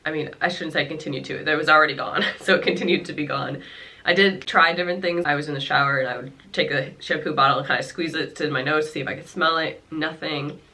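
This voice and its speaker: Young woman, stuffy nasal voice, chatty vlog, rambling fillers, bright tone. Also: deep voice, fairly even intonation, fast talker. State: congested, nasal. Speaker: vlogger. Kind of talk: casual talk to camera about her illness. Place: her home.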